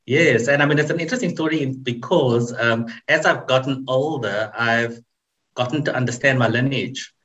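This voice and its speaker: A man in his forties, a podcast guest telling his family story in a measured, reflective way. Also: mid-range voice, fairly bright tone. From South Africa. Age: 40s. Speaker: interview guest. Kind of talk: casual interview chat.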